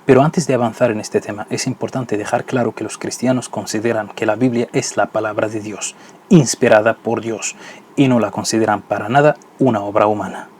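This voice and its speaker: A man who is not a native Spanish speaker, hosting and narrating a scripted religious lesson in a measured, didactic way. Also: fairly low pitch, fairly even intonation, a little mellow, a little hiss or hum. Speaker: host.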